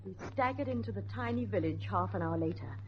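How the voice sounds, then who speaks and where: A woman's voice: medium pitch, animated, fast, natural voice. A woman playing a married woman caught up in a dangerous ordeal in a radio drama, narrating and acting it out. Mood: measured storytelling. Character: urgent, weary married woman narrating her flood ordeal. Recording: dull, noisy old radio recording.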